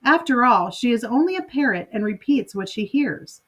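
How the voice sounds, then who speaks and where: Ruhige, geduldige Mutter aus einem Kinderbuch, von einer Frau gelesen. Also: female voice, fairly high pitch, animated, normal speed. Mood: calm. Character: calm patient mother.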